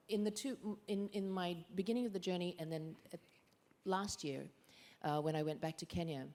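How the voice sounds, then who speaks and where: A woman with a notably low voice speaks earnestly on a conference panel. She tells her campaign story and says "uh" and "um" along the way. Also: warm tone. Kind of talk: panel discussion answer. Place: conference stage.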